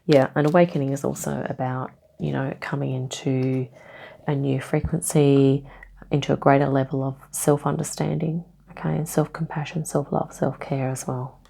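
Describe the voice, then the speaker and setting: A woman giving a casual tarot reading, rambling gently with lots of ums and okays, a bit nasal from a cold. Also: very deep voice, little pitch movement, normal speed, vocal fry. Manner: meandering, many ums and okays, gentle reassuring tone. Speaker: tarot reader.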